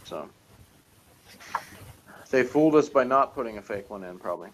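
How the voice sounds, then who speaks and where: A man chatting casually as a co-reviewer, halting and often trailing off mid-thought. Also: mid-range voice, expressive, poor audio. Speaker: co-reviewer of a TV episode. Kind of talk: casual chat.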